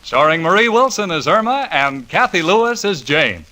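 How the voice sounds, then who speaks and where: Male vintage radio announcer, fairly high voice, lively delivery over loud music. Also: normal speed. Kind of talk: 1940s radio show announcement.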